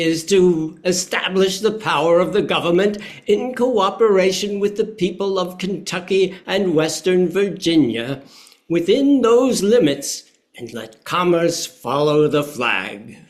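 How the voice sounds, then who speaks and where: A man's voice: sits high, animated, slow pace. A man reading the part of a formal, earnest 1860s Treasury Secretary in a historical play.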